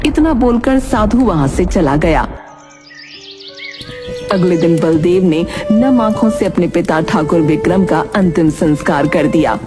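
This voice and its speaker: A woman's voice: on the low side, animated, unhurried. A woman narrator reading a scripted horror story. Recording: music behind the voice.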